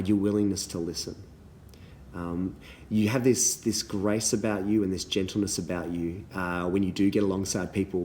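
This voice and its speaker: A man, a little hoarse from losing his voice, giving earnest thank-you messages to youth leaders with many ums and restarts. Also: deep voice, darkish tone, a little hiss or hum.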